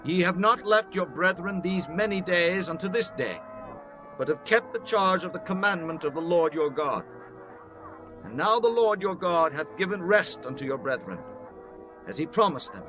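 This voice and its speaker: A man's voice: a little high, natural voice, poor audio. A man playing a solemn Israelite leader who exhorts his people formally in a dramatized scripture audiobook. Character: Joshua, solemn male Israelite leader addressing his tribes.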